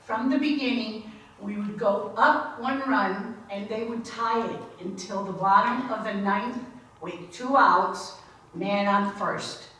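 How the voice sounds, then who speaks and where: Slow woman reading in an echoey room. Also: mid-range voice, pushed and bright. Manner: unhurried reading, correcting her own slips. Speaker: reader at a book signing. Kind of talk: reading a memoir aloud. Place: book signing event room. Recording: very echoey room, from far off, faint noise.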